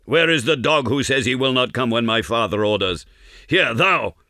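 Man playing a fierce, boastful old warrior, bright-voiced. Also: fairly low pitch, lively intonation, unhurried, clean tone, dry recording, clean studio sound. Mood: furious, threatening. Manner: declamatory archaic speech, boastful. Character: aging fierce Zulu warrior, boastful, bloodthirsty, grandly proud.

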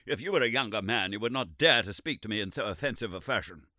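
A man voicing a stern, rigid aristocratic gentleman who speaks in a clipped, controlled way. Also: fairly low pitch, lively intonation, quick pace, no room sound, clean studio sound.

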